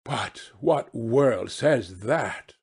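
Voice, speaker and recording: A man's voice: mid-range voice, lively intonation, extremely slow, darkish tone, husky, exaggerated voice. A man voicing a witty, languid, cynical aristocrat in an audiobook, with suave, drawling delivery of his epigrams. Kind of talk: audiobook character voice.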